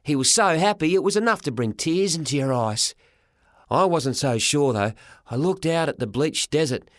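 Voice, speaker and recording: A man narrating a children's story in the first person as an expressive audiobook reader. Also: on the high side, moderate pace, no room sound, studio quality.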